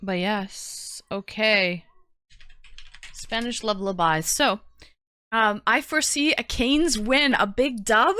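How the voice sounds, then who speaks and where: A woman with a Canadian accent speaks at an ordinary mid-range pitch, recorded close and dry with little room sound. She chats informally as a livestream host, talking straight to her viewers and often repeating short phrases. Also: slow, pushed and bright, clear, resonant tone, no echo. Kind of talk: casual livestream chat, greeting viewers.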